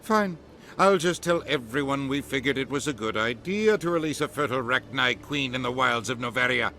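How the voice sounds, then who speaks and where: An actor playing an irritable ambassador in a science fiction game, scolding with heavy sarcasm. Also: male voice, mid-range voice, lively intonation, moderate pace, pushed and bright, a little hiss or hum. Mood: sarcastic.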